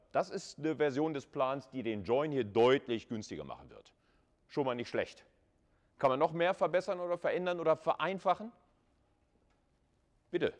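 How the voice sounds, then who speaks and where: Informal man lecturing to students. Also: on the high side. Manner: informal, conversational, with rhetorical questions. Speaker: university lecturer. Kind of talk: live lecture explanation, addressing students. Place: classroom with chalkboard.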